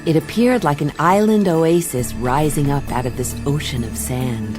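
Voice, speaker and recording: A woman playing a wry, deadpan adventurous traveller in a radio play, with unhurried delivery. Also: sits low, loud music behind the voice, close to an everyday voice. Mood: brooding, unhurried. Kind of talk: radio play narration and dialogue.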